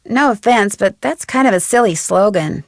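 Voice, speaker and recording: A woman's voice: mid-range voice, expressive, moderate pace, dry recording, studio quality, lightly acted. An actress voicing a polite but dryly sarcastic young delivery girl in a video game.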